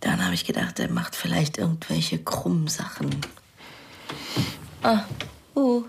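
A plain-spoken middle-aged woman with a low voice, heavily breathy and gravelly. She talks in a halting, rueful way, in naturalistic radio crime drama style. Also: huge pitch swings, exaggerated voice. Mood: hesitant, pensive. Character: plain-spoken working-class mother, rueful about her brother.

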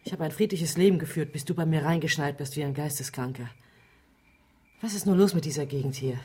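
A woman's voice: deep voice, brisk, dark tone, husky. An actress playing a blunt, persistent woman journalist with a direct manner in a German radio crime drama. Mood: fed up. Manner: direct, probing. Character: blunt, fed-up, persistent female investigative journalist.

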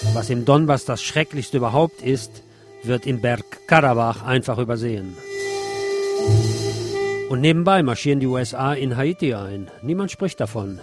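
A man hosting a radio show, reading a scripted literary essay in a deliberate way. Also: medium pitch. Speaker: radio host. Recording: music behind the voice. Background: music.

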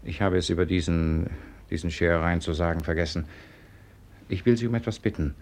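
A man playing an irritable, proud young Russian gentleman in a 1950s German radio drama, agitated and sharp-tongued. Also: low-pitched, steady tone, brisk. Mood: tense, grudging.

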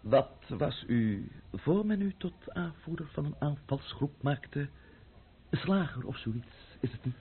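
A man's voice: on the high side, animated, slightly rough, full character voice. An actor playing a sarcastic, menacing French revolutionary official in a radio drama, sneering and drawing out his mock-polite words. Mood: cold threat. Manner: sneering, mock-polite, drawn-out, bursting into shouts. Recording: muffled old radio play recording.

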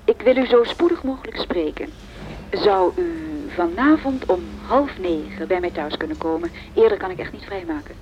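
A woman's voice: on the low side, lively intonation, moderate pace, darkish tone. An actress playing a courteous, composed, businesslike woman lawyer on the telephone in a radio drama. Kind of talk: radio drama phone dialogue. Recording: muffled phone-scene sound, faint noise.

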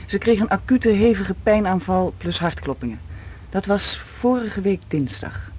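An actress playing a worried, devoted partner of a patient in a radio drama, polite and halting. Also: female voice, mid-range voice, lively intonation, a little mellow, a little hiss or hum, close to an everyday voice. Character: patient's anxious, devoted, soft-spoken live-in partner. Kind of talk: 1980s Dutch radio drama acting.

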